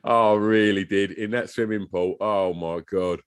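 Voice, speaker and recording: A man's voice: fairly low pitch, fairly even intonation, normal speed, clean tone. A British man co-hosting a podcast in casual, teasing banter, often laughing. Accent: British English. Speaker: podcast co-host.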